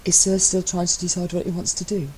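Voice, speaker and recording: A woman voicing a bland, deadpan young man who teases his husband with mock servility, in an audio story. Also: fairly low pitch, quick pace, a little hiss or hum, pitched above the performer's own voice, close to an everyday voice, rough recording. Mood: deadpan teasing.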